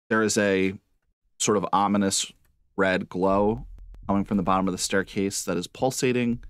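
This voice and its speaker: A man acting as game master, narrating a tabletop game casually with halting phrasing and asides. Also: deep voice, a bit bright, dry recording.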